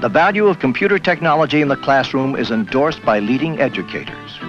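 A man's voice: mid-range voice, lively intonation, fairly quick. A man reading scripted narration for an educational documentary, on an old film soundtrack. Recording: dull 1980 film soundtrack. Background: faint music.

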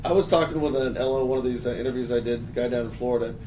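A man in his thirties with a gravelly, hoarse voice, hosting a casual panel discussion with restarts and fillers. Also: mid-range voice, warm tone. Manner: casual, halting, lots of restarts. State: hoarse from a long three days. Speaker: host. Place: office room with a panel. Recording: distant mic, noisy.

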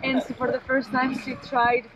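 A young woman vlogger telling a short memory softly and slowly. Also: high-pitched, completely flat delivery, over a noisy crowd, low-quality recording. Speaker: sailing vlogger.

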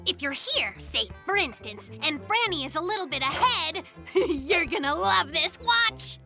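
Super high bouncy cheeky little bear boy, cartoon, music behind. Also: very animated, a little slow, bright, forceful tone, exaggerated voice. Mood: cheeky, eager. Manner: exuberant, slangy. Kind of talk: animated children's cartoon acting.

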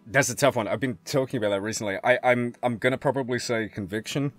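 A man's voice: mid-range voice, fast. A man speaking as an interviewed director in a casual, informal chat, thinking aloud as he answers. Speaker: animation director being interviewed. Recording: music playing underneath. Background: music.

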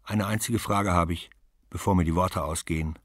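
A man reading aloud and voicing a tough, blunt, hardened woman who talks in a forceful, scolding way. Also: low voice, fairly even intonation, moderate pace, dry recording, studio quality. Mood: stern, forceful.

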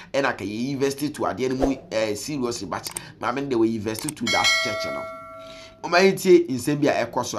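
Young ghanaian guy doing online reaction commentary, chatty, mixes twi and english, normal pitch. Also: fairly bright tone. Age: young adult. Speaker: online show host. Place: small room indoors.

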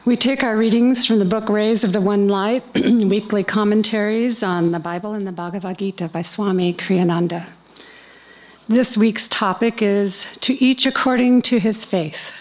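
Older woman reading scripture, measured and solemn. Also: mid-range voice, a little mellow. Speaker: reader at a church service. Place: indoor chapel. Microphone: stand microphone close to the mouth.

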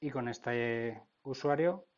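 A man's voice: medium pitch, takes it slowly. Man, Spain Spanish accent, tech instructor, informal tutorial narration, explanatory, dull lo-fi recording. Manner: explanatory, often checking with "vale?". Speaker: technical instructor.

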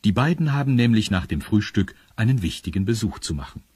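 A man's voice: lowish voice, very animated, a little fast, darkish tone, husky, no room sound. A man narrating a children's audio drama in a scripted storytelling style. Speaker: narrator of a children's radio play.

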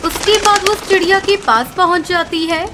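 Very high-pitched woman narrating children's story. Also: moderate pace. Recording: clear background noise and ambience.

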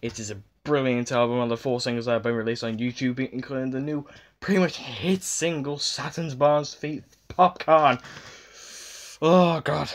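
A giddy young man in his twenties gushes into a phone held at arm's length in a small bedroom. His voice sits at a middling pitch, and he lurches from near-shouts down to breathy whispers. He swears freely as he raves. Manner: giddy and gushing, swings from shouting to hushed whispers, swears a lot. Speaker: vlogger. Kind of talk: excited reaction vlog.

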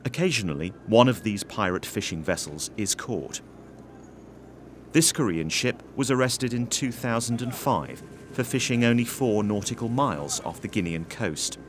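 A man giving a scripted documentary narration in a measured, even voice. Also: on the low side, a little hiss or hum. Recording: voice-over with music bed. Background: music.

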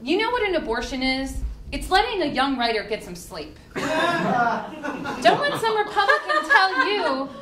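A woman's voice: high voice, very animated, unhurried, a loud crowd. A young woman reading a humorous essay aloud to a live audience with a wry, performative delivery. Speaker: reader at a book reading. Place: indoor party room with live audience. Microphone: podium microphone on a stand. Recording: roomy live event with faint noise.

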